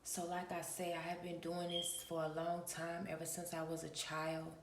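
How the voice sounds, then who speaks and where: A woman hosting her own channel, talking in a relaxed early-morning vlog with a raspy voice and a preachy manner, often clearing her throat. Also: fairly low pitch, normal speed, echoey room, slight background noise. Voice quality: gravelly. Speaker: spiritual reader and channel host.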